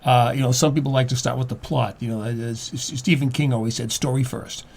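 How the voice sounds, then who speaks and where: A man in his seventies talking casually and reflectively as a guest writer, halting and repeating words as he goes. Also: mid-range voice, fairly even intonation, gravelly, darkish tone. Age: 70s.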